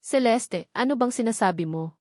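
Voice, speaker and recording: A woman's voice: fairly high pitch, lively intonation, normal speed, a little mellow, no echo, clean studio sound. A woman voicing a protective, wealthy male romantic lead in a storybook, concerned and firm. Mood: firm anger.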